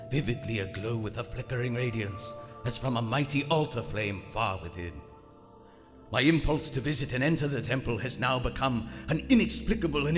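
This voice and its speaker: A man playing a proud German WWI submarine commander slipping into madness, narrating formally and deliberately in a live radio drama. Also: medium pitch, close to an everyday voice. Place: large theater stage. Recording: live theater stage, long reverb, music underneath.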